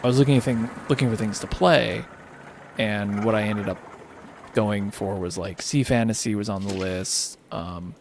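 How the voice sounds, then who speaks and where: A tired man whose voice is starting to go hoarse, rambling casually and thinking aloud as he hosts a gaming livestream. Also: on the low side, fairly even intonation, normal speed, darkish tone, a little hiss or hum. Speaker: livestreamer hosting a charity gaming stream. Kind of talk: casual unscripted game-stream chat.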